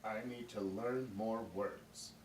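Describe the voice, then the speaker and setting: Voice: medium pitch, fairly even intonation, slow, a little mellow, lightly acted. A performer playing a polite, hesitant young male student in an amateur puppet show, giving short, simple answers. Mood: hesitant, earnest. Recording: roomy, distant mic with faint noise.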